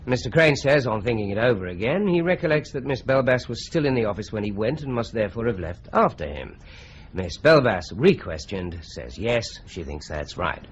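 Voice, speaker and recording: A measured, deliberate detective, played by a man in an old radio drama. His delivery rises and falls a good deal. The recording is slightly muffled and has faint hiss. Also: on the low side, expressive, natural voice. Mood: methodical. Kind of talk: 1950s-style BBC radio drama.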